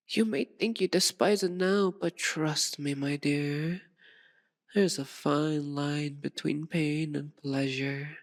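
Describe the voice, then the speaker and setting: A seductive, sadistic vampire mistress, played by a woman in an intimate ASMR roleplay. She speaks very slowly in a slightly low voice. Her delivery is possessive and commanding, a purr that often slips toward a whisper. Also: exaggerated voice. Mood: seductive, savoring. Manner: slow, purring, domineering, often dropping into whispers.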